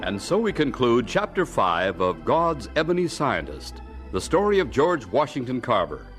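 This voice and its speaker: A man's voice: mid-range voice, sing-song, normal speed, music in the background, close to an everyday voice. A man acting as the genial narrator of a scripted radio drama. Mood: formal. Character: genial narrator chatting with the story's hero.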